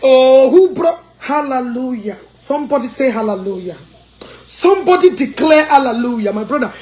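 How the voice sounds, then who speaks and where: A woman's voice: high-pitched, animated, normal speed, darkish tone. A Nigerian woman hosting a live call-in chat, scolding callers and mixing English with Igbo. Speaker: live show host.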